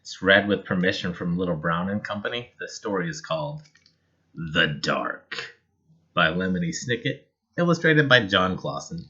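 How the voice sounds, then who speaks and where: A man with a low, forceful voice reads a children's story aloud. His phrasing is measured and dramatic, made for storytelling. The recording is a little lo-fi. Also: animated. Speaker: storybook reader. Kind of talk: expressive children's story narration.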